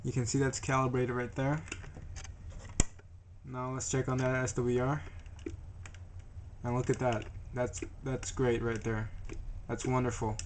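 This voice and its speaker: A man's voice: mid-range voice, flat delivery, measured pace, long pauses. A man narrating an informal hands-on tutorial in a plain-spoken, slightly hesitant, self-deprecating way. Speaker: DIY tutorial presenter. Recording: noisy amateur camera audio.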